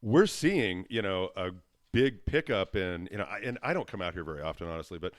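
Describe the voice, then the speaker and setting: A man with a loud voice, a podcast guest talking casually and rambling, with lots of "you know" fillers. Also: sits low, lively intonation, brisk, no echo, clean studio sound. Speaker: podcast guest, web business owner. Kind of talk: casual conversation.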